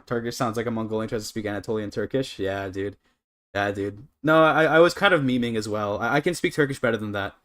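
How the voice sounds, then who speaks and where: A man's voice: fairly low pitch, fast talker, fairly bright tone. A Canadian man chatting casually to his livestream audience, rambling and apologetic as he goes. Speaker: live streamer. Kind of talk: casual gaming livestream chat.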